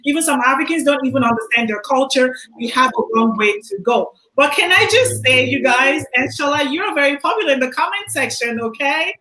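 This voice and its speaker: A woman's voice: high-pitched, animated, a little fast, few pauses, bright, forceful tone. A woman hosting a live online discussion, upbeat, reading viewer comments aloud. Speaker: host. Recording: echoey room.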